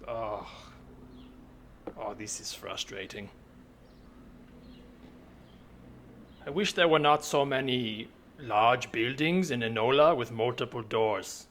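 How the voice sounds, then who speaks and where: A man plays a cute-girl killer robot from the future in an improv comedy. The voice is bright and forceful, stilted and full of self-importance, quick to get exasperated. There is clear background noise with an ambient soundscape. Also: medium pitch, natural voice. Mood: frustrated, insistent. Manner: stilted, grandiose, easily exasperated. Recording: noisy track with ambient soundscape.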